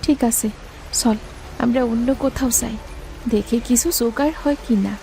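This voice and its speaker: A woman's voice: fairly high pitch, steady tone, normal speed, vocal fry, dark tone. A woman playing a worried, reproachful poor village woman in a cartoon, resigned and scolding. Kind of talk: Bengali cartoon voice acting. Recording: music behind the voice.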